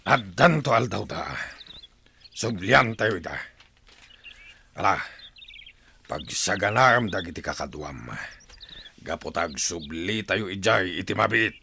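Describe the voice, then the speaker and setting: Domineering warlord chief, played by a man, radio play: gravel edge, very animated delivery. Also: low voice, unhurried, pauses often, slight background noise, heavily acted. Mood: gruff, confident. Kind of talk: Ilocano radio drama acting.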